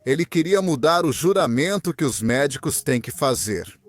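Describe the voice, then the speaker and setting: Animated young man telling his story. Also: fairly high pitch, moderate pace, dry recording. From Venezuela. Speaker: guest. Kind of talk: interview answers, personal story.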